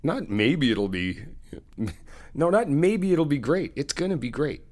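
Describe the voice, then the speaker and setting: A man's voice: fairly high pitch, big pitch swings, slow, a little mellow. Laughing, chatty man playing a best friend. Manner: chatty, laughs often, teasing and upbeat. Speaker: ASMR roleplay creator playing a best friend. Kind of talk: casual friendly roleplay chat to the listener.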